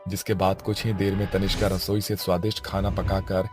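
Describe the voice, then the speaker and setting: Guy with a lowish voice telling a cartoon family story, music behind. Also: flat delivery, fairly quick. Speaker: narrator. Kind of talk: scripted cartoon story narration.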